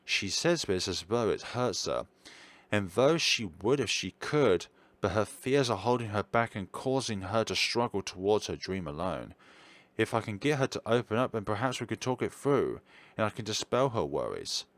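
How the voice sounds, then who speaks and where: A man reading a visual novel aloud as expressive narration, adding his own casual commentary. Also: fairly low pitch, moderate pace, no room sound. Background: soft game music.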